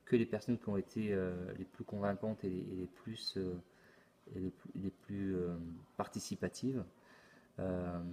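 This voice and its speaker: A middle-aged man with a low, monotone voice walks through a website in a casual explainer. He talks in a halting way, restarting phrases and filling pauses with hesitations. The delivery stays plain and unhurried. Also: breathy edge. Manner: halting, many repeated words and "euh" fillers. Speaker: YouTube channel host. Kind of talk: casual screen-recorded forum walkthrough.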